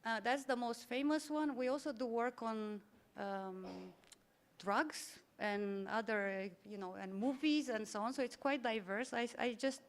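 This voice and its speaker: A woman's voice: on the high side, fairly even intonation, unhurried. A woman moderating a workshop at a conference, explaining an organization's work in a fluent way with frequent fillers. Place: conference room.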